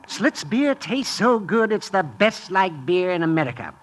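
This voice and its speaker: A man playing a genial, mock-stern doctor in a 1950s radio commercial, speaking haltingly on an old radio recording. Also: high voice, very animated. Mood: amused.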